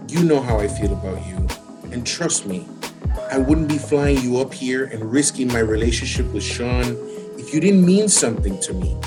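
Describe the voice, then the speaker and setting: A man's voice: mid-range voice, lightly acted. An actor in an audio drama playing a recently divorced man, earnest and defensive as he placates his lover. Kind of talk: contemporary audio drama acting. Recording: music under the voice.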